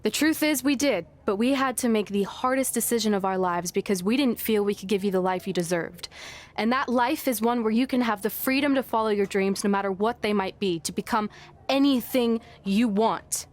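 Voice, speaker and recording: A woman's voice: mid-range voice, a little fast, close to an everyday voice. A woman playing a loyal, sarcastic young woman wrestler who encourages her friend in a video game cutscene. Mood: earnest. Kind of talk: scripted game cutscene acting.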